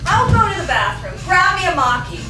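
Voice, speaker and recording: A woman's voice: very high voice, sing-song, brisk. A woman playing a blunt, vengeful girlfriend in a staged script reading. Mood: casual. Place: small theatre stage, distant camera. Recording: very echoey theatre, distant, noisy.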